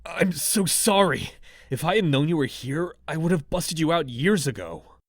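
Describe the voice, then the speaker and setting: A man's voice: on the high side, lively intonation, moderate pace, no echo, studio quality. A man playing a cocky, suave young raccoon master thief in a comic dub, with wry storytelling and a wistful streak. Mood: remorseful. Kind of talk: comic dub voice acting with narration.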